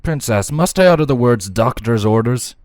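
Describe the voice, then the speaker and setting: A man voicing a kindly but firm male pony doctor in a story reading, calm and gently insistent. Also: mid-range voice, moderate pace, no echo, studio quality, lightly acted.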